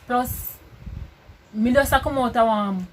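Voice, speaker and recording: Casting: Jamaican woman, higher register, loud, casual couple-vlog storyteller, slightly noisy recording. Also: slow.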